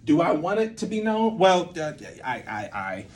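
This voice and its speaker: A man's voice: on the high side, sing-song, normal speed, a little mellow, a little hiss or hum. A man giving an informal whiteboard lesson, thinking aloud in a rambling way and often interrupting himself. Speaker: creative methods teacher and playwright.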